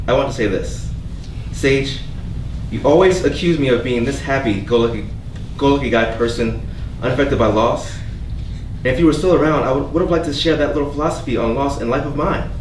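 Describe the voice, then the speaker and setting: A man playing a gentle, hopeful, quietly wounded character who speaks with halting sincerity in a staged play reading. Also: medium pitch, close to an everyday voice. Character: gentle, earnest, hopeful stranger named Avery, quietly wounded. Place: theatre staged reading, recorded from a distance. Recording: echoey room, distant mic, background noise.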